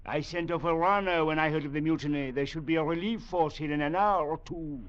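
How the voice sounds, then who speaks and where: An actor playing a ruthless, contemptuous commandant in a 1940s radio drama, barking menacing orders. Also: male voice, fairly high pitch, fairly quick, clear, resonant tone, lightly acted. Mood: curt, threatening. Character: ruthless French Foreign Legion commandant, brutal and contemptuous. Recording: dull, noisy 1940s radio recording.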